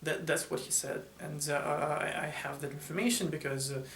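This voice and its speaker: A man's voice: on the high side, level tone, moderate pace, vocal fry, fairly bright tone. Hesitant young man, distant and roomy. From born in Russia, raised in Ukraine. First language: not English. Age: 20s. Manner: hesitant, many filler sounds and restarts. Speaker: interviewee. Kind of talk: halting conversational answers in a second language. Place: hotel room, seated, indoors. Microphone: camera mic from across the room. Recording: roomy, distant mic, faint room hum.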